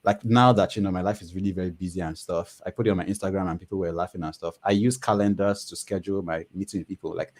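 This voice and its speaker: A man's voice: deep voice, steady tone, fast talker, hardly any pauses, darkish tone. A man talking casually as a guest on a panel discussion, chatty and full of fillers. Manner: chatty, frequent "you know" fillers.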